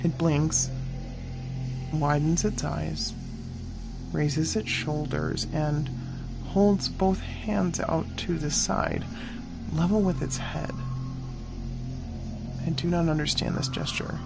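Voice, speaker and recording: Slow, creaky great-cat hunter narrating. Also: male voice, fairly high pitch, little pitch movement. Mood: guarded, puzzled. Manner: deliberate, grave, clipped phrases. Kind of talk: dramatic first-person scripted narration. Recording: music under the voice. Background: dramatic music.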